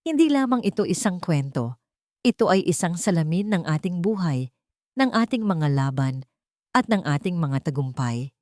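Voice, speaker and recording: Filipina storyteller, lowish voice, earnest and sentimental, very dry close recording. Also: female voice, very animated, normal speed, a little mellow, no echo. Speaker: storyteller and narrator. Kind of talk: scripted dramatic story narration.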